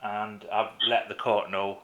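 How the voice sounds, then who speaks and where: A man making a complaint as a caller in a phone conversation, insistent and halting, often repeating himself. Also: fairly low pitch, flat delivery, a bit bright. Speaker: caller to a council office.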